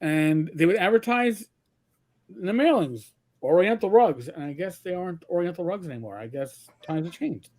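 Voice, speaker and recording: This man from Queens speaks with a New York accent in a noticeably high register. He hosts a casual livestream, rambling, tripping over repeated words and swearing freely. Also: animated, normal speed, darkish tone. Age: older. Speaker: host.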